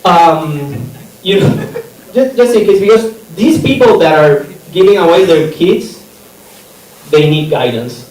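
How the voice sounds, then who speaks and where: A man's voice: sits high, animated, measured pace, a little mellow. A man from Chile, performing a stand-up comedy set in a rambling, self-interrupting way. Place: comedy theater stage. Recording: echoey room from a distance, audience laughter.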